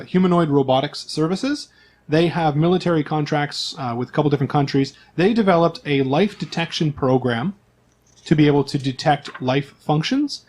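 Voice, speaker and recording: Higher-voiced man hosting a tabletop game. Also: darkish tone. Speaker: host and game master. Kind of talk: casual explanatory narration to players and listeners.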